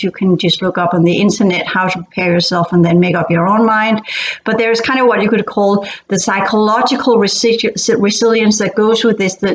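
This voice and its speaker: A woman from Denmark, not a native English speaker, talking casually as host and often pausing to search for words. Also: medium pitch, a little hiss or hum. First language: Danish. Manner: hesitant, searching for words with many fillers and restarts. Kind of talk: casual explanatory talk. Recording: echoey, dull-sounding room recording.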